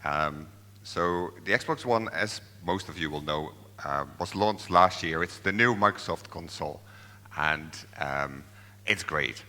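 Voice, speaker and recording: A man's voice: low voice, measured pace, pushed and bright, long reverb tail. A man from the Netherlands, giving a hesitant conference tech presentation full of fillers and restarts. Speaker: software developer presenting. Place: large conference hall.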